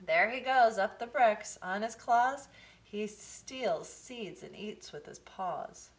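A woman reading a children's picture book aloud, with expressive, deliberate phrasing. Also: medium pitch. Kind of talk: storybook read-aloud for children.

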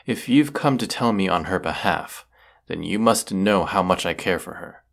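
A man playing a proud, brooding, sardonic wizard of the woods in a scripted audio roleplay, speaking haughtily and deliberately. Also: low-pitched, expressive, creaky, a bit bright, full character voice. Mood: alarmed, threatening.

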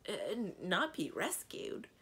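A woman's voice: medium pitch, very animated, slow, fairly bright tone, slight background noise, voice pushed up. A woman voicing a polite, inexperienced young male knight in a storybook, hesitant and bewildered. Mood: puzzled. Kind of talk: storybook character dialogue.

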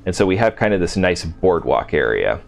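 Low-voiced man giving a casual game walkthrough. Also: sing-song, normal speed, gravelly. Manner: informal, unscripted, thinking aloud. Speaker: video game settlement-build host. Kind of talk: casual gameplay walkthrough commentary.

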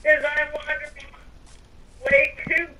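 Bright playful woman, distant mic. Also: high voice, little pitch movement, takes it slowly, slight background noise. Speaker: roleplay player. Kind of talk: casual in-character roleplay chat.

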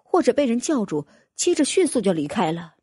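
A woman's voice: fairly high pitch, big pitch swings, fairly quick, warm tone, slightly rough, no echo, studio quality. A woman narrating a fantasy audiobook, reading a scripted story in a dramatic storytelling style.

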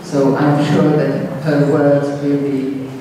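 Slow-speaking man in an echoing hall. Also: a little high, completely flat delivery, warm tone. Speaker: event host introducing a speaker. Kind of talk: spoken introduction, measured. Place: large conference hall indoors. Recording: echoey hall, distant mic, noisy room. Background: room noise.

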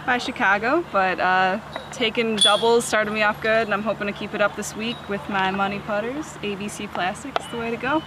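A woman's voice: medium pitch, fairly even intonation, brisk, hardly any pauses. Cheerful woman from Illinois, outdoors. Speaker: amateur player. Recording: noisy outdoor field recording.

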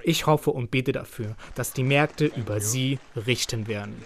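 A man's voice: medium pitch, a little slow. A man delivering a voice-over translation of a political speech as an interpreter. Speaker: interpreter voicing a parliament speech in German translation.

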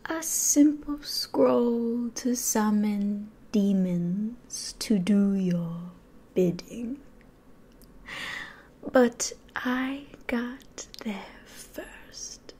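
A woman's voice: a little high, extremely slow, warm tone, slightly rough, exaggerated voice. A young woman playing a sly, grandiose demon ruler in an ASMR roleplay, mostly whispering theatrically close to the microphone. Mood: grandiose, scheming. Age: 20s.

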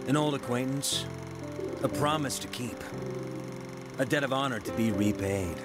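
An actor playing an earnest, deadpan police hero in a video game cutscene. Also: male voice, medium pitch, normal speed, natural voice. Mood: solemn, cryptic. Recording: music behind the voice.

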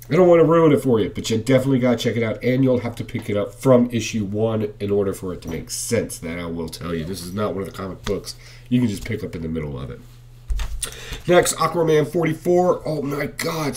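A man's voice: mid-range voice, a little mellow, a little hiss or hum. A man in his thirties casually reviewing comic books at home to a webcam, enthusiastic and blunt, with frequent fillers. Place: living room at home, indoors. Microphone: webcam facing him at close range.

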